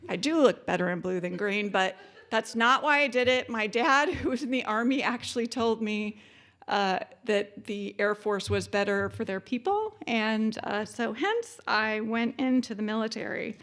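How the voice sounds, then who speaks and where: A woman's voice: fairly high pitch, normal speed, a bit bright. A woman, giving a conference keynote in a chatty way with many fillers. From born in Japan, military family. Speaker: keynote speaker.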